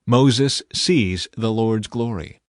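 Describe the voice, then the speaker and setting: A male audiobook narrator reads scripture from a script. His delivery is measured and solemn, and the recording is dry. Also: lowish voice, no room sound.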